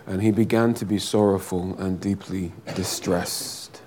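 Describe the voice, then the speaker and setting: A man with a big booming voice preaching a sermon and praying fervently, drawing out his phrases and repeating them for emphasis. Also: fairly even intonation, darkish tone, slight background noise. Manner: slow, drawn-out, fervent delivery with repeated phrases. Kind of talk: sermon with prayer.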